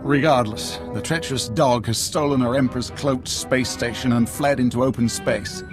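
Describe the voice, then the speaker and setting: A man acts out a sci-fi game's military leader. His medium-pitched voice is stern and scornful, handing out orders over faint music. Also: normal speed, lightly acted. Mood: scornful anger. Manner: commanding, contemptuous. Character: stern, scornful male Imperial commander. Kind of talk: video game cutscene acting.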